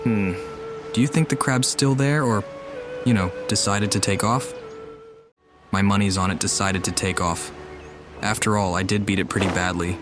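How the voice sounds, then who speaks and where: A man's voice: lowish voice, steady tone, normal speed, long pauses. A man giving casual, musing commentary as he plays a video game. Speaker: gamer commenting on a playthrough. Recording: game music behind the voice.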